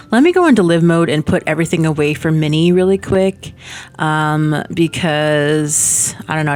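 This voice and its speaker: Woman host, low voice, slightly stuffy nose, rambling casual gameplay chat, faint music underneath. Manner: rambling, many fillers, self-laughing asides. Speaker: Sims building channel host. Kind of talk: casual chatty gameplay commentary. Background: soft background music.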